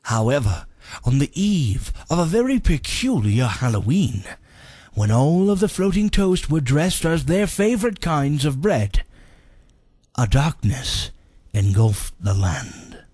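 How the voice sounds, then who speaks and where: Man playing a husky, theatrical soothsayer storyteller. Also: on the high side, big pitch swings, a little mellow, dry recording, studio quality, heavily acted. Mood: ominous. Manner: grandiose, ominous, drawn-out dramatic phrasing. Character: melodramatic male soothsayer of the 1830s telling a dark legend. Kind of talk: theatrical comic storybook narration.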